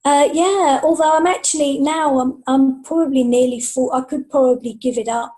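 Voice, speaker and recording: Woman podcast guest chatting away casually, kinda high voice, really mellow. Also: fairly even intonation, normal speed. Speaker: podcast guest, freelance writer and author. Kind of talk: casual interview chat.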